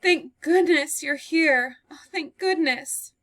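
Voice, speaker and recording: A woman's voice: high voice, moderate pace, pushed and bright, no echo, pitched above the performer's own voice. A woman voicing a terrified young woman who has fled a killer, breathless and pleading as she reads a play aloud.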